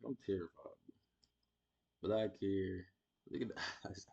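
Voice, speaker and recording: A man's voice: deep voice, slow pace, creaky, mellow, muffled recording. A man reacting to a video in casual, blunt, slangy commentary, joking as he goes.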